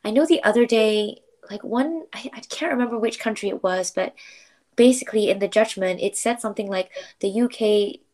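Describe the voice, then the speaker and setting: A woman's voice: medium pitch, a little fast, a little mellow. A young adult woman from Malaysia, a paralegal talking as a podcast guest in a chatty way, laughing often and filling with "like". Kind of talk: casual interview chat.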